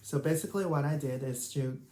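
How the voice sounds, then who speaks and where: A woman's voice: a bass voice, a little fast, dark tone. A woman with a Chinese accent, a native Chinese speaker, casually sharing language study tips as a vlogger. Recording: roomy, echoing sound.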